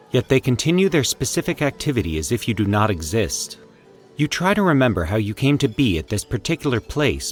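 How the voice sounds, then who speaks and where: A man narrating a scripted horror story in a formal, deliberate, theatrical storytelling manner. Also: on the low side. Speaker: host and narrator of a fiction podcast.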